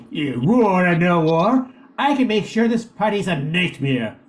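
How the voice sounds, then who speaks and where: A man's voice: sits high, very animated, unhurried. A man playing a villainous small-town gambler and bully, taunting and leering, in an amateur radio play. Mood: gleeful menace. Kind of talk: amateur 1940s-style radio comedy acting.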